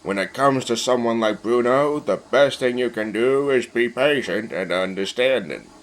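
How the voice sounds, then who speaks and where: A man playing a stern but fair railway controller who speaks in a formal, measured, admonishing way. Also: medium pitch, lightly acted. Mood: reassuring.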